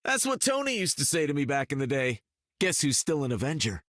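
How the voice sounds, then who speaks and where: A confident American superhero archer for a video game. The delivery is wry and casual, with lively swings in pitch, recorded dry and close. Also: male voice, brisk, no echo. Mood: cocky.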